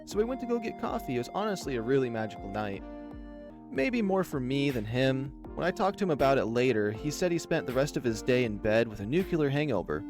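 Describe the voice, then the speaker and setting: A man voicing a shy, nervous, gentle young animal character in a visual novel, speaking in a hesitant, apologetic, soft-spoken way. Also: medium pitch, big pitch swings, brisk, music in the background, lightly acted. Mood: fond, wistful.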